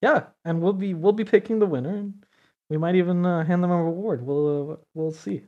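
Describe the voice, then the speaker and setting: A man's voice: high voice, a little fast, warm tone, highs cut off. A man hosting a community podcast, casually reading out news and listener questions in an upbeat way. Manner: upbeat, laughs often, reads news and questions. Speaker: podcast host.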